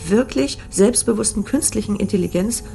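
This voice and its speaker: A woman playing a brisk, methodical investigator in a science-fiction radio drama, laying out facts dryly. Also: medium pitch, creaky, mellow, soft background music, close to an everyday voice. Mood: matter-of-fact, dry. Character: brisk adult woman investigator piecing together a conspiracy.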